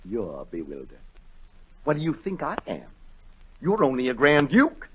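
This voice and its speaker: Husky, wildly swooping fretful king. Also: mid-range voice, wildly swooping pitch, a little slow, exaggerated voice. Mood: pompous, dithering. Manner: theatrical, dithering yet commanding. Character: fretful, self-important king, reluctantly ordering executions. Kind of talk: 1940s radio acting. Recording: dull 1940 radio recording.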